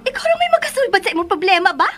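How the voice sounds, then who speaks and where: A woman acting in a Cebuano radio drama from the Philippines as a jealous, scornful schemer, husky, emotional and pushy. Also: extremely high pitch, sing-song, very fast, fairly bright tone, faint music behind, exaggerated voice. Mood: jealous, threatening. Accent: Filipino, Cebuano.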